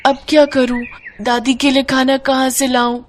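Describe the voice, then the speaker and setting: A woman's voice: sits high, little pitch movement, moderate pace, lightly acted. A woman voicing an innocent, worried little orphan girl in a story, earnest and pleading.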